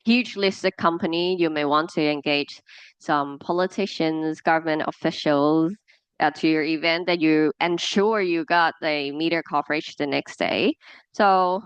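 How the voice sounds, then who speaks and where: A woman's voice: low voice, a little slow, clear, resonant tone, no echo. A woman with a Hong Kong accent, a native Cantonese speaker, giving a classroom presentation and explaining with examples, with many fillers and restarts. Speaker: lecturer.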